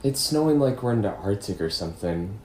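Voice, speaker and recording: A man playing a flirtatious, dramatic gentleman in an amateur puppet show, speaking in a halting, flowery manner. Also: on the low side, animated, natural voice. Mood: suave, jovial. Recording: echoey room with faint noise.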